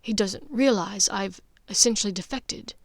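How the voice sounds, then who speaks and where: A woman voicing a proud, sarcastic young man in an audiobook, with blunt, halting, emphatic delivery. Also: mid-range voice, creaky, dry recording. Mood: anxious, relieved. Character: proud, bitter young wizard son, sarcastic.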